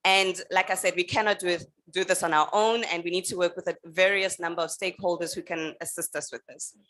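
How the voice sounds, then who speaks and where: Measured woman panelist. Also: fairly low pitch, steady tone, a bit bright. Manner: measured, with frequent um fillers. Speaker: energy utility representative on a panel. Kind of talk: panel discussion answer.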